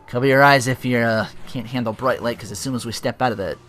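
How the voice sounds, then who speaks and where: A man giving casual, animated, sweary let's play commentary on a video game. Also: mid-range voice, quick pace, faint music behind. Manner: profane, animated.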